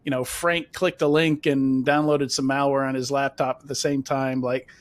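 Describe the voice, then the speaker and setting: A man's voice: medium pitch, level tone, normal speed, no room sound. A man moderates a webcast panel from a video call. His voice sits mid-range, and his questions wander through fillers and false starts. The sound is dry and close. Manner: rambling, filler-heavy questions.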